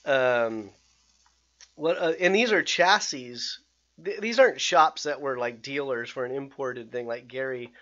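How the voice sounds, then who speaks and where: A man hosting a podcast, chatting casually in an excitable, rambling way with many restarts. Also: medium pitch, unhurried. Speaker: podcast host. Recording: slightly dull lo-fi sound.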